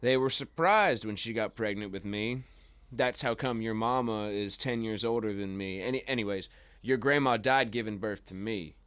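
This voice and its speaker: A man's voice: on the low side, normal speed, a bit bright, clean tone, no room sound, close to an everyday voice. Dry, close-sounding teasing uncle, played by a man. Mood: guarded, candid. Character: young Texan uncle, teasing, guarded former bull rider. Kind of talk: naturalistic radio play acting.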